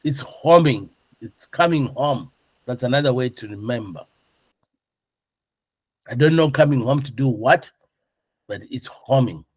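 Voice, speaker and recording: A man's voice: medium pitch, animated, unhurried, long pauses, a little mellow, husky, dry recording. A man working as an instructor, lecturing informally and explaining things, often checking understanding with questions. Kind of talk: classroom lecture with questions to students.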